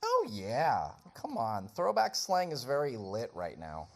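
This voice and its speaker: A woman's voice: very deep voice, huge pitch swings, a little slow, vocal fry, darkish tone, heavily acted. A woman playing a playful, cocky modern podcaster in slangy, teasing scripted banter.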